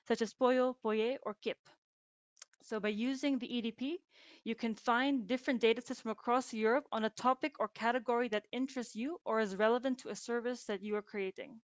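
A woman giving a scripted webinar presentation in a steady, informative tone. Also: fairly high pitch, no room sound, muffled recording. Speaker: conference presenter.

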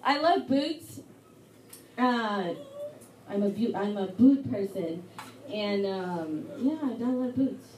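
A young woman from Texas doing a stand-up set at a pub comedy night, talking fast and chatty with self-mocking asides into a handheld mic. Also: mid-range voice, expressive, background noise. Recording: roomy audience recording from a distance.

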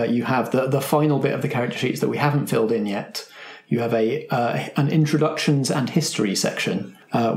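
A man casually hosting a roleplaying podcast and explaining the game to his players at a table. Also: mid-range voice. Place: indoor room, group around a table. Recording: roomy, echoing group table recording.

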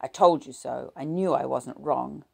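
A woman's voice: very deep voice, unhurried, gravelly, dark tone, breathy edge, exaggerated voice. A woman voicing a wounded, bitter husband who growls and turns sarcastic. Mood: tense.

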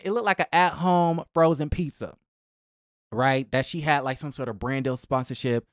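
A man's voice: medium pitch, clear, resonant tone, no room sound. A young man giving casual, conversational celebrity gossip commentary in a sassy, emphatic, slangy way, close on a podcast microphone in a small room at home. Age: young adult. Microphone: close boom-arm podcast microphone.

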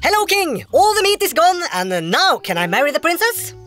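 An actor voices an eager young lad from a cartoon fairy tale. The voice is high and bright, with lively swings. He speaks politely and simply, with earnest little hesitations. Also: brisk, soft background music, heavily acted. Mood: excited, hopeful. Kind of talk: animated fairy-tale character dialogue.

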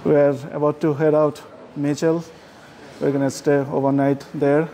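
A man's voice: fairly high pitch, little pitch movement, normal speed, warm tone. A man filming a casual travel food vlog with a handheld camera, walking through restaurants and praising the food in simple, friendly words. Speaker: travel vlogger. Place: restaurants and streets, indoors and outdoors. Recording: noisy handheld camera audio.

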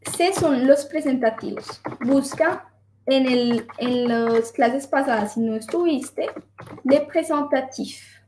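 A woman's voice: sits high, slow pace, darkish tone, a little hiss or hum. A young woman teaching an online French class, patiently explaining and switching between French and Spanish. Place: home room. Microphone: video call, laptop mic. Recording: roomy video call audio.

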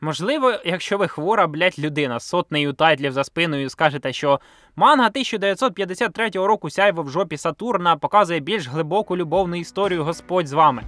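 A man's voice: a little high, animated, quick pace, a bit bright, no room sound, clean studio sound. A man narrating a scripted video essay in a reflective, sentimental way, with casual slang and some swearing. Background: music behind the voice.

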